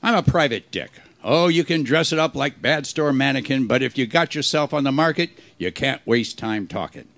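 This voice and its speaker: A man playing a world-weary, wisecracking private detective in a hard-boiled radio play, delivering his lines deadpan. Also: medium pitch, moderate pace, few pauses. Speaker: amateur actor in a live radio play. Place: live performance on a cruise ship.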